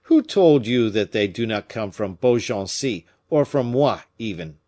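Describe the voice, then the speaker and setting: A man's voice: lowish voice, expressive, a little slow, warm tone. A man reading an audiobook, voicing a nobleman's anxious, loyal aide who urges caution. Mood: urgent. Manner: urgent, pleading.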